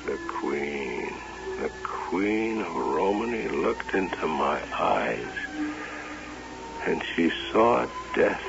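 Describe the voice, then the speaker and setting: An actor playing a grief-stricken, haunted carnival owner, halting and melodramatic, in an old-time radio drama. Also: male voice, sits low, expressive, vocal fry, exaggerated voice. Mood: dazed, despairing. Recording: muffled old radio broadcast with music.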